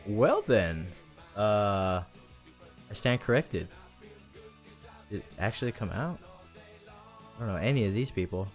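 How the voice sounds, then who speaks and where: Low-voiced man chatting casually over music. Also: animated, unhurried, pauses often. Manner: informal, slangy, rambling. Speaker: show host. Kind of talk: casual chat about video game movies.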